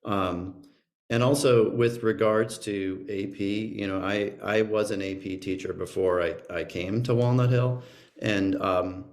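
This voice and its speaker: A male teacher on a video call, answering in a measured way with some restarts. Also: on the low side, fairly even intonation. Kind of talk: panel answer.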